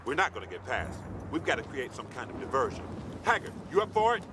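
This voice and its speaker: A man's voice: medium pitch, sing-song, quick pace, close to an everyday voice. Man acting curt army sergeant over battle noise. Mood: grim, resigned. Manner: curt, commanding. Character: gruff, weary army sergeant, male, bossing his squad. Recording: loud battle and vehicle noise behind.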